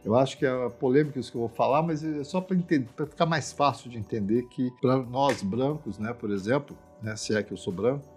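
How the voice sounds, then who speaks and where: A man's voice: medium pitch, fairly quick, few pauses, soft background music. A man with a Brazilian accent from Minas Gerais, explaining social issues informally in a reflective, emphatic way, often adding tag questions.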